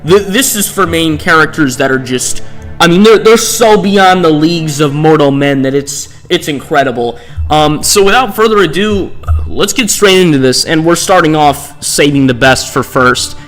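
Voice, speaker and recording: A man's voice: on the high side, expressive, normal speed, a bit bright, soft background music, poor audio. A man giving casual, unscripted commentary as a video host, rambling off on digressions and often checking in with a questioning tag. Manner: rambling, digressive, often tagging statements with "right?". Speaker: host of tier-list videos.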